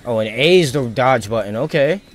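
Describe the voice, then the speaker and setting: Guy live streaming a game, slightly higher voice, slangy mutterings, music in back. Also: lively intonation, brisk. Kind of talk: casual gaming commentary.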